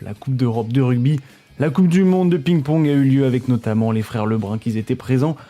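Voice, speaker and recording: A man's voice: mid-range voice, warm tone. A man from France presenting a weekly sports news roundup in a chatty way, talking straight to his viewers and stumbling over foreign names.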